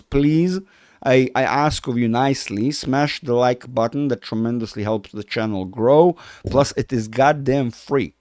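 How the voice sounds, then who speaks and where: A man's voice: medium pitch, a little mellow, no echo, studio quality. A man from Israel, a native Russian speaker, hosting a casual market livestream, rambling and self-correcting, effusive in his praise.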